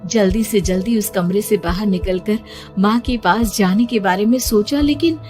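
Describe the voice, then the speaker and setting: A woman's voice: medium pitch, normal speed, a little mellow. A woman narrating a scripted horror story in the first person, dramatic, suspenseful and emotive. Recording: music behind the voice. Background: music.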